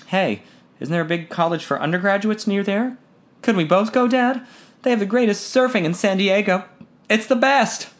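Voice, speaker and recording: A man voicing an eager, upbeat teenage son in an audiobook, his voice lifted higher and a bit louder than his own. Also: normal speed, natural voice.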